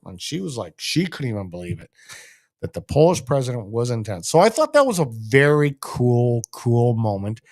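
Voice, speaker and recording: Man, Canadian accent, mid-pitched voice, casual sports host, emphatic, repeats phrases for stress. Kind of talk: casual solo hockey commentary.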